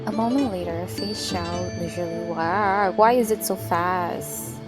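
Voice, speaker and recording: Slow-talking female game streamer over music. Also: on the low side. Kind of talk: casual reactions while streaming a game.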